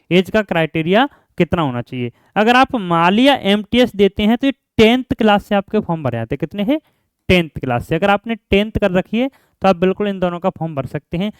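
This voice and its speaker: A man's voice: sits high, smooth, no room sound, studio quality. A man explaining like a coaching teacher, often repeating himself and answering his own questions. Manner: repetitive, asks questions and answers them himself, frequent check-in tags. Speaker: exam coaching teacher and channel host. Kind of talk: informal explainer lesson for job aspirants.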